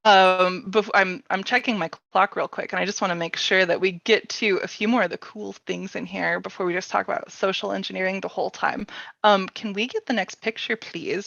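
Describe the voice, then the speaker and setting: A woman's voice: mid-range voice, vocal fry, fairly bright tone, no room sound, highs cut off. A woman speaking off the cuff as the host of a casual panel, in an informal, joking and rambling way. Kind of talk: casual, unscripted panel chat.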